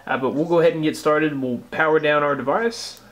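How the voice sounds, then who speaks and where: A man's voice: a little high, fairly quick, a bit bright. An American man narrating a casual step-by-step tutorial as a tech host, explaining things informally.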